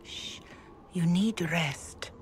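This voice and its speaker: An actress playing a calm, wise counsellor in a video game, speaking in a hushed, measured and deliberate way. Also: female voice, fairly low pitch, a little hiss or hum. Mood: soothing. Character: calm, wise woman counselling a weary survivor. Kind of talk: dramatic video game dialogue.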